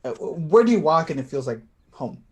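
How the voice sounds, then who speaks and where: A man's voice: a little high, big pitch swings. A man acting in a play reading as an anxious, tender, slightly tipsy man who stammers and hesitates. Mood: wistful. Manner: halting, stammering.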